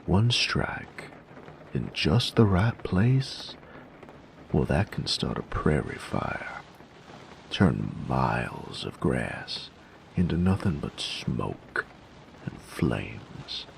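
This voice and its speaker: Casting: gruff, jaded bandit drifter, played by a male actor, extremely low and heavily creaky, sardonic folksy drawl, for roleplay audio drama. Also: sing-song, drawn-out words, a little hiss or hum, full character voice. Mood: wry, musing. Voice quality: gravelly, very low voice.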